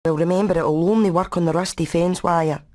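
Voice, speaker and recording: A woman's voice: lowish voice, fairly even intonation, fairly quick, a little mellow, lightly acted. A woman voicing a brainy, practical hen engineer in a video game, with a Scottish accent and a technical, matter-of-fact way of talking. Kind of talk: animated video game acting.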